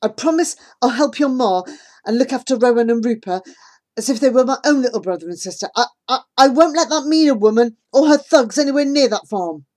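A woman's voice: on the high side, animated, moderate pace, warm tone, pitched above the performer's own voice. A woman voicing an anxious, apologetic young boy. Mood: pleading. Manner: hesitant, apologetic. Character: young boy, anxious, guilty loyal friend.

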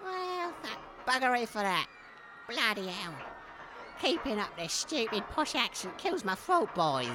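A woman's voice: a little high, sing-song, a little slow. A woman voicing a scheming, crude mother of two sons who swears freely. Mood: gloating. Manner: blunt, crude, cheerfully sweary. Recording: background noise.

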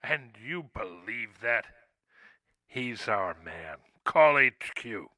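Radio drama: hard-edged male detective, piercing higher voice, sarcastic and curt, dry studio sound. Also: sing-song, takes it slowly, sharp tone, no room sound, full character voice. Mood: suspicious, sarcastic. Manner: brusque, sarcastic. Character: gruff, sarcastic male police lieutenant. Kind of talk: radio play acting.

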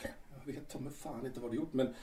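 A man's voice: medium pitch, fairly even intonation, mellow, echoey room, distant mic, lightly acted. An actor playing Peter, a vain, irritable male stage star who swears and grows flustered. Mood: alarmed. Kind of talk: audio drama acting.

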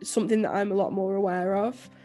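A young woman co-hosting a podcast, croaky and nasal from illness, rambling casually with lots of fillers and restarts. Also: medium pitch, fairly even intonation, quick pace, mellow, soft background music. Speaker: podcast co-host and author. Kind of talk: casual chat.

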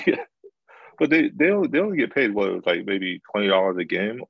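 A man's voice: fairly low pitch, sing-song, fairly quick, creaky, no room sound, muffled recording. A man co-hosting a podcast, chatting casually and teasing his friends with frequent laughs. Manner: joking, teasing, laughs often. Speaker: podcast co-host. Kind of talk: casual chat.

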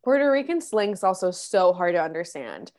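Woman from orlando, spanish first language, talks fast and bubbly, casual guest chat. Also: fairly low pitch, sing-song, darkish tone. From Orlando, Florida.

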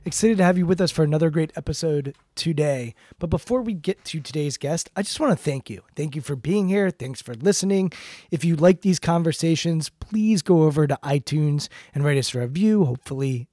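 Male podcast host, somewhat high, mellow voice, dry close sound, earnest and upbeat. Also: no room sound. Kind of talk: podcast interview.

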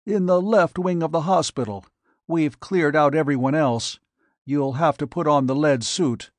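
A man voicing a cautious hospital physician who speaks in a hurried, apologetic way. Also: a little high, no room sound, pitched above the performer's own voice, lightly acted. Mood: worried.

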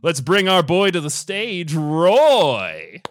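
A man with a booming voice hosting a podcast as a theatrical dating game show announcer. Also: big pitch swings, takes it slowly, no echo. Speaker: podcast host.

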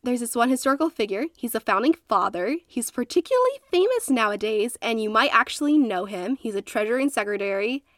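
A woman's voice: a little high, very animated, brisk, hardly any pauses, fairly bright tone, no echo, clean studio sound. A congested woman co-hosting a casual history podcast from a closet, telling stories in a chatty, playful way with lots of fillers. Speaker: podcast co-host. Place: small closet at home. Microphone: makeshift home setup.

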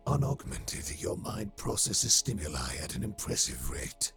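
An actor playing a coldly analytical military adjutant with a gravelly voice, precise and detached, in a sci-fi war drama. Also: male voice, sits low, very animated, measured pace, a bit bright, exaggerated voice. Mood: clinical. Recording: prominent music behind the voice.

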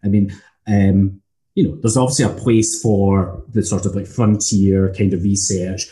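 Scottish man, dark, thoughtful tone. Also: deep voice, flat delivery. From Scotland. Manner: measured, thoughtful, frequent ums. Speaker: academic lecturer. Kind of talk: casual academic conversation.

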